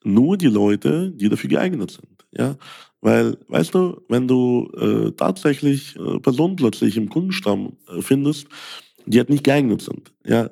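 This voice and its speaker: A man's voice: mid-range voice, gravelly, dark tone, slightly rough. A man from Ukraine, a sales coach, talking through a podcast monologue with many fillers and "ja?" tags. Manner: frequent fillers, rhetorical "ja?" tags.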